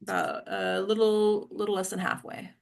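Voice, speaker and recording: Woman reading screenplay directions, medium pitch. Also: normal speed, vocal fry. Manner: stumbles over words. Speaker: stage directions reader. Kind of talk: scripted narration at a table read.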